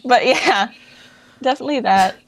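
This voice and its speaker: A woman's voice: a little high, moderate pace, low-quality recording. A young woman in her late teens chatting casually and informally as a guest in an interview. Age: late teens, young adult. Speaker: interview guest, fan project founder.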